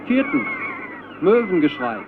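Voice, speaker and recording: A man's voice: high-pitched, sing-song, unhurried, background noise. An actor playing an earnest, naive young man speaking a ceremonious recorded message in a radio drama, through a narrow tape filter. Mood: proud announcing. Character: earnest young Chilean man, devoted admirer of a poet.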